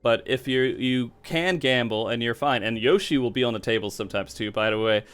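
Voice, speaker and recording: Easygoing man with a mid-pitched voice. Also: fairly quick, fairly bright tone, clean tone. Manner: easygoing, laughs often. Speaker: content creator. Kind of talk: casual podcast chat.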